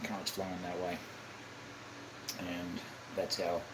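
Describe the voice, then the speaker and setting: A man giving a casual, unscripted hands-on demonstration, halting and thinking aloud as he goes, often correcting himself. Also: low-pitched, monotone. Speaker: hobbyist demonstrating a kit build. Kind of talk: casual unscripted how-to narration. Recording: clearly noisy amateur home recording.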